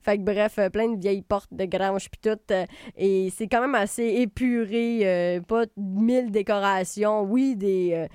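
Chatty Québécoise radio host, smooth voice. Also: female voice, mid-range voice, fairly even intonation, a little slow, a little mellow, no echo, clean studio sound. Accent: Québécois French. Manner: rambling, chatty, many fillers and self-corrections. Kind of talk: casual spoken review. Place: radio studio.

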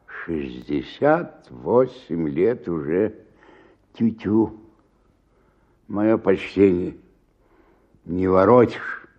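A man's voice: deep voice, lively intonation, extremely slow, pauses often, muffled recording, full character voice. An older man acting a weary, hungover sixty-eight-year-old stage actor in a radio drama, groaning and muttering in self-pity. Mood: weary, resigned. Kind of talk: theatrical radio drama monologue.

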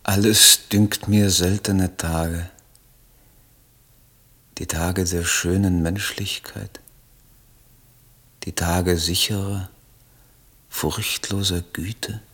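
A man acting a troubled, idealistic German poet in a literary radio play, speaking in a solemn, declamatory, halting manner. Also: low voice, steady tone, a bit bright, husky, exaggerated voice. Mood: dreamy, hopeful. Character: troubled idealistic German poet reading his own letters.

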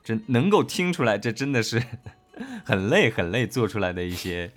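A man's voice: medium pitch, lively intonation, normal speed. A man co-hosting a casual livestream chat, hesitating and often repeating words. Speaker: livestream co-host and audio drama cast member.

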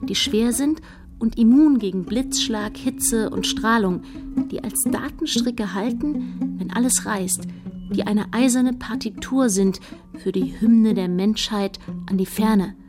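A woman narrating a literary radio play in a measured, poetic delivery. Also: on the high side, music in the background.

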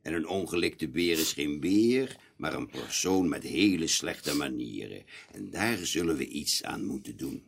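A man's voice: a bass voice, animated, vocal fry, exaggerated voice. An actor playing a stately old elf king and father in a fairy-tale radio play, speaking with solemn dignity. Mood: stern, soothing. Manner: solemn, deliberate, dignified delivery.

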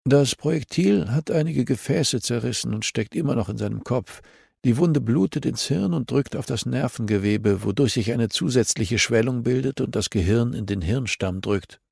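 A male narrator plays a serious hospital doctor in an audiobook. He explains in a measured, clinical way, and the recording is dry and close. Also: mid-range voice, vocal fry, darkish tone, husky, dry recording, pitched above the performer's own voice. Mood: grave, clinical.

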